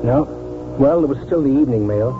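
A man's voice: medium pitch, brisk, phone-quality sound, lightly acted. An actor playing an elderly, weary village doctor, musing and repeating himself as he narrates a 1940s radio drama. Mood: preoccupied. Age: older. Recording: 1940s radio broadcast, thin band, loud music.

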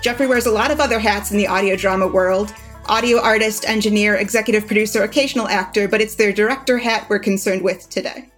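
A woman's voice: medium pitch, steady tone, fast talker, faint music behind. A woman hosting a podcast, introducing interview guests in a conversational, prepared way. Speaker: podcast host and writer.

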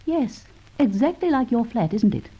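An actress playing a plucky, stubborn young woman adventurer, brisk and teasing, in an old radio drama. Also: on the high side, very animated, warm tone, slightly rough, exaggerated voice. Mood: teasing, composed. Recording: muffled, hissy old radio recording.

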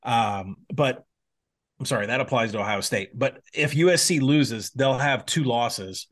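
Brief: mid-pitched male co-host, analytical football betting talk, casual podcast delivery. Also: fairly bright tone. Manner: fast, analytical football breakdown with fillers.

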